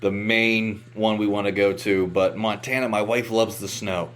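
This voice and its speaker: A man's voice: fairly low pitch, fairly even intonation, moderate pace, fairly bright tone. An American man from West Virginia hosting a podcast, casually telling anecdotes and acting out the dialogue as he goes. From West Virginia, United States. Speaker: podcast host.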